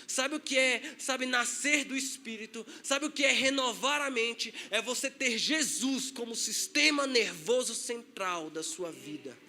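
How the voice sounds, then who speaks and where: A man's voice: very high-pitched, animated, unhurried, few pauses, bright, forceful tone. A man with a Brazilian Portuguese accent, a pastor preaching a sermon in a conversational way, acting out quotes.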